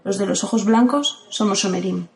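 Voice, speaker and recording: A woman from playing a calm, ageless guardian who speaks in a steady, firm way in an audio drama. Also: medium pitch, moderate pace, vocal fry, a little hiss or hum, natural voice. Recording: roomy echo.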